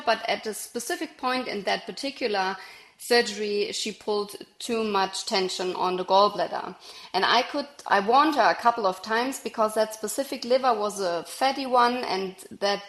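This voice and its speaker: A woman's voice: medium pitch, roomy sound. A woman from Germany with a German accent, a native German speaker, answering conversationally as an interview guest and surgeon.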